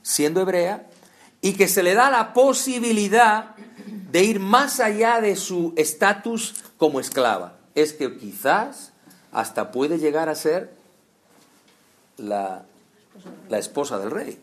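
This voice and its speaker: Male preacher, fairly high voice, chatty sermon with lots of questions to the listeners. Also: animated, takes it slowly. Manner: conversational, addresses listeners directly with rhetorical questions.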